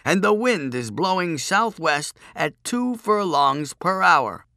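A man voicing a pedantic chief priest in an audiobook, droning out riddles in a drawn-out, pompous recitation. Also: on the high side, lively intonation, pushed and bright, no room sound, clean studio sound, voice pushed up, full character voice. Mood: pompous testing.

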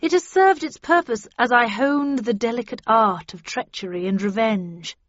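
A woman's voice: a little high, moderate pace, lightly acted. A woman voicing an arrogant, sardonic male villain and film star, declaiming pompously in an audiobook. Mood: sardonic, scornful. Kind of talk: audiobook character dialogue. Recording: dull, band-limited audio.

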